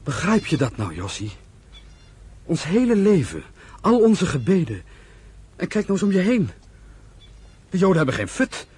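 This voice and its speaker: Young migrant brother in an old radio play, emotional, raspy voice, kinda high for a man. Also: huge pitch swings, moderate pace, long pauses, darkish tone, exaggerated voice. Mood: bitter, disillusioned. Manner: impassioned, exclaiming. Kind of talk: Dutch radio play acting.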